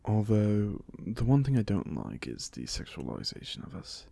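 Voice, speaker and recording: A man acts out an ancient but genial British vampire giving an interview in an audio roleplay. His speech is courteous and slightly formal, with lively swings in pitch and a creaky edge. The sound is close and dry. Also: moderate pace, dry recording, exaggerated voice. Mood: wry, mildly annoyed. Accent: British, put on by an American. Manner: polite, formal turns of phrase, wryly conversational. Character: genial, chatty, courteous centuries-old male vampire being interviewed. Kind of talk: ASMR audio roleplay monologue.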